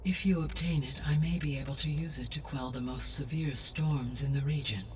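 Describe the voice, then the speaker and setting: A woman acting as a calm female game AI with a low voice for a woman. She speaks formally and precisely, guiding and supporting with measured care. The voice sits a little away from the mic, over faint noise. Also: far from the mic, lightly acted. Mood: calm, helpful. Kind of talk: video game cutscene acting.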